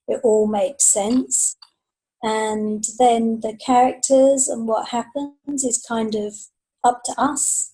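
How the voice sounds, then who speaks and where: Mellow woman, slightly high voice. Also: poor audio. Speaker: writer on a panel. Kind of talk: casual panel chat.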